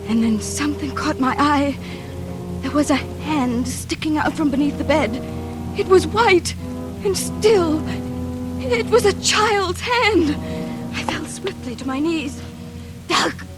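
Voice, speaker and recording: An actress playing a terrified woman in an old radio thriller, with breathless, halting, melodramatic delivery. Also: sits high. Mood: rising horror. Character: terrified woman recounting her mad sister's deadly menace. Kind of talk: 1940s radio suspense acting, dramatic first-person narration. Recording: old radio drama, music behind.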